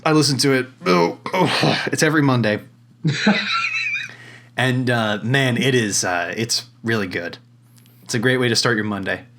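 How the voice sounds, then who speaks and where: A young man co-hosting a podcast, deep-voiced today, rambling with fillers and frequent laughs. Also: a little slow, long pauses, a bit bright, slight background noise. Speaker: podcast co-host. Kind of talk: casual chat.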